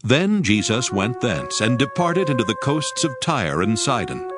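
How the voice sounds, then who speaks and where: Male scripture narrator with lively inflection. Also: medium pitch, unhurried. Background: music.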